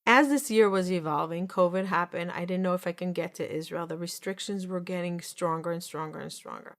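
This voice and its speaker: A woman's voice: on the low side, fairly quick. Earnest woman in her forties, storytelling. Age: 40s. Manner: earnest, repetitive, restarts often. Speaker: podcast host. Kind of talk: personal storytelling monologue.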